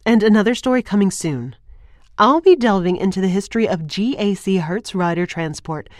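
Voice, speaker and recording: A woman's voice: mid-range voice, animated, normal speed, a little mellow, dry recording, lightly acted. Audio drama role, female actor: earnest field reporter in studio banter, mid-range voice, dry close recording. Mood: composed, keen. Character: earnest, professional female radio reporter, quietly exasperated.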